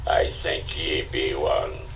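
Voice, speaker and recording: An ancient crusader knight, played by a male narrator, speaks very slowly in a very deep voice. He is grave and archaic, a storybook guardian, heard at some distance on a noisy tape. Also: flat delivery, gravelly, a bit bright, full character voice. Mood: solemn. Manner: slow, solemn, archaic speech. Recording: distant, noisy cassette audiobook recording.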